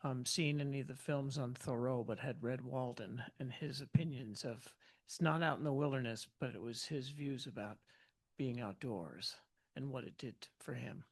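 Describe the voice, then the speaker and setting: A man's voice: mid-range voice, moderate pace, low-quality recording. A man asking an unscripted question from the audience at a public meeting, polite and a little tentative.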